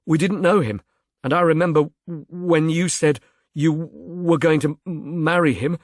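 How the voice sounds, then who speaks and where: A man's voice: fairly high pitch, moderate pace, no room sound, studio quality, voice pushed up, lightly acted. A man voicing an eager, dim-witted grown son who stammers heavily and blunders on earnestly in an audiobook. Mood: anxious, helpful. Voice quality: heavy stammer.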